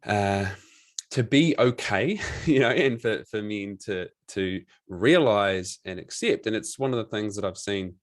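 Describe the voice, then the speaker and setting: A man's voice: on the low side, unhurried, no room sound. A man in his thirties with a New Zealand accent, a coach talking frankly and casually as a podcast guest, swearing now and then. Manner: relaxed, frank, swears casually, many restarts. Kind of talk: casual interview chat.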